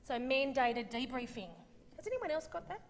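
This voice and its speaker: A woman's voice: high-pitched, lively intonation, moderate pace, a little hiss or hum. An Australian woman facilitating a group workshop, praising each answer and moving the discussion on. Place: large conference hall. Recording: reverberant hall recording.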